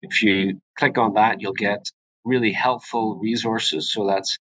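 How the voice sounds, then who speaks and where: A man's voice: lowish voice, steady tone, measured pace, a bit bright, no echo, poor audio. A middle-aged man with a Northern Irish accent giving an informal webinar presentation as a medical specialist, in a conversational, slightly hesitant and self-deprecating manner. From Northern Ireland. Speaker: presenter, urogynecologist.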